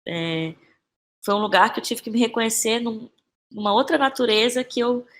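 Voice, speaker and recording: A Brazilian woman in her thirties speaking as a guest in a conversational podcast interview, thinking aloud haltingly and repeating phrases. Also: fairly high pitch. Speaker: interview guest, playwright and actress.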